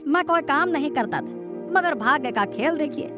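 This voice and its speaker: A woman's voice: sits high, animated, normal speed. A woman playing a lazy, boastful young man in a cartoon moral story. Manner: boastful, dismissive. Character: lazy, cocky young son trusting in fate. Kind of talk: animated moral story dialogue. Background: background music.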